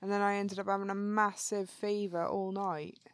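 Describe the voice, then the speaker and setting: Brief: female co-host, mid pitch, rambling and chatty, congested, dry mic. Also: flat delivery, moderate pace, no room sound. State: phlegmy, congested. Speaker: podcast co-host. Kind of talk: casual podcast chat.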